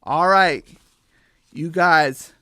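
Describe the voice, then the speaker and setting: A woman's voice: deep voice, very slow, pushed and bright, dry recording, heavily acted. A woman voicing a level-headed, bossy male farm animal in a children's radio play. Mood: knowing, stern.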